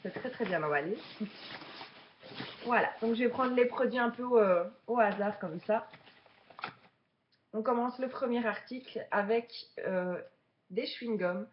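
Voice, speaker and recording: A woman's voice: fairly high pitch, a little slow, pauses often, muffled recording, slight background noise. A woman of twenty-seven from Belgium, chatting casually to viewers as a beginner vlogger during an unboxing. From Belgium, Charleroi region.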